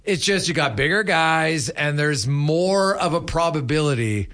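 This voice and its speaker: A man's voice: on the high side, expressive, unhurried, a bit bright. A man, chatting casually and in a rambling, opinionated way as a sports interviewer. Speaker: sports radio host and interviewer.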